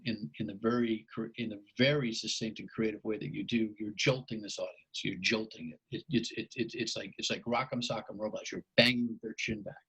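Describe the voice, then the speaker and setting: Gravelly, husky-voiced male talk host. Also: lowish voice, a bit bright, rough recording. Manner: blunt, pushes the guest with challenges; restarts and stumbles over words. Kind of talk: radio talk show interview.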